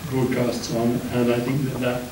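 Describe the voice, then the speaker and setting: An older man speaking on a conference panel, steady and flat in tone with little rise or fall. He talks in a measured way, pausing on fillers. The sound is very echoey and distant, with background noise from the hall. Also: medium pitch. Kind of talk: panel discussion answer. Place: wood-panelled hall, large indoor room. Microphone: handheld mic, far camera. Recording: echoey hall from far off, noisy.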